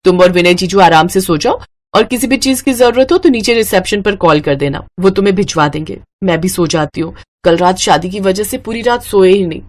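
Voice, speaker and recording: A woman's voice: mid-range voice, expressive, fairly quick, lightly acted. Woman voicing a coy, scheming young bride. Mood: casual. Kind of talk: Hindi moral-story cartoon acting.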